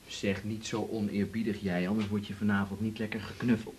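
A man's voice: fairly low pitch, flat delivery, a little fast, darkish tone, natural voice. Actor as a cheeky man, slightly noisy recording. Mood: teasing. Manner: teasing, mock-formal. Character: cheeky, jokey male partner, a musician. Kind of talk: naturalistic 1980s radio drama dialogue.

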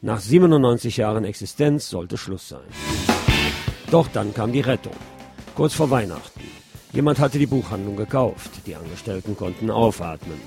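A middle-aged man hosting a radio show, reading news commentary in a measured, opinionated way. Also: lowish voice, animated, gravelly, music in the background. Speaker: radio host.

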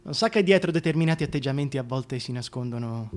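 An actor playing a bitter, scheming, deformed Shakespearean duke who pities himself, moving between declaimed verse and hushed confession in a radio drama. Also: male voice, medium pitch, animated, quick pace, lightly acted. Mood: wounded self-pity. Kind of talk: theatrical radio drama monologue.

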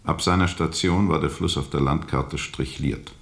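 An actor playing a brooding, world-weary riverboat captain who recounts a jungle journey deliberately in a radio drama. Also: male voice, extremely low pitch, vocal fry. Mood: guarded, reflective. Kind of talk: radio drama first-person narration.

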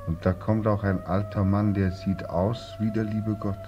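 A bitter, despairing young war returnee played by a male actor, speaking low and slowly with dark, muted tone. The delivery is weary and brooding, in the manner of 1940s radio drama. The recording is muffled and old. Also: little pitch movement, faint music behind, natural voice.

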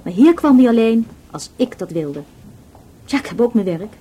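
A self-assured young woman in a radio drama, a student of faded nobility who speaks bluntly. Her voice sits fairly high, with a mellow, slightly husky tone. She is informal and quite unembarrassed. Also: expressive, moderate pace. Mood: frank, matter-of-fact. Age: young adult. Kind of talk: radio play dialogue.

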